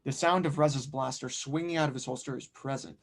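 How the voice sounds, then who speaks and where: A man's voice: mid-range voice, fairly even intonation, a little fast, gravelly. A man reading stage directions as narrator in a scripted audio drama table read.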